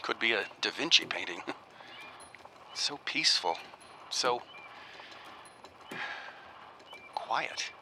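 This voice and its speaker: An actor playing an earnest, uneasy researcher in a radio drama, speaking in a halting, musing way as if keeping an audio diary. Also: male voice, lowish voice, lively intonation. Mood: wondering, musing. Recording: faint noise behind the voice.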